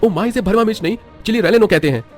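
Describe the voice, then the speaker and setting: High-pitched fussy young brother played by a man. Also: racing, faint music behind, natural voice. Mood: fussy, condescending. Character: fussy, mocking young brother, food snob. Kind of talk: cartoon moral-story acting.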